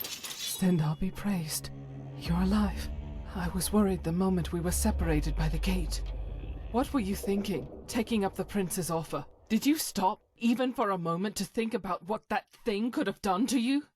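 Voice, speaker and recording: A woman voicing a devout, weary female warrior of a holy order in a fantasy game, earnest and solemn, with a husky, breathy voice and halting formal phrasing. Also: medium pitch, a little mellow, faint music behind, natural voice. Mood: relieved, reproachful. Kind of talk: voice acting for fantasy game companion.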